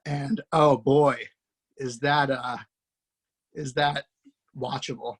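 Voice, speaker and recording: A man's voice: medium pitch, steady tone, slow pace, husky. Man hosting with chatty, effusive introductions. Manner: effusive, praising, loose with fillers and restarts. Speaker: host introducing a table-read cast. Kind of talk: casual spoken introductions.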